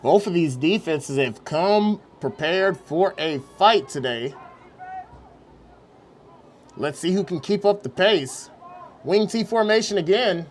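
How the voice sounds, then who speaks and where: Male play-by-play announcer with a high voice, calling youth football outdoors over faint background noise. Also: slow pace, long pauses. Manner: steady, rising on big plays.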